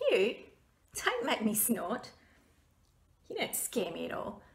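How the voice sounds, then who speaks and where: A woman playing a cheeky young male knight in a children's storybook, taunting with a drawn-out sneer. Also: on the high side, wildly swooping pitch, exaggerated voice. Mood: scornful. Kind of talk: children's storybook read-aloud. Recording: roomy echo.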